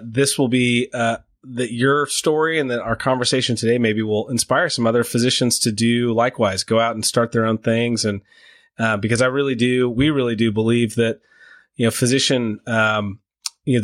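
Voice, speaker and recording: A man hosting a podcast interview, halting and restarting his sentences as he asks questions. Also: medium pitch, no echo, studio quality. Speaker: podcast host.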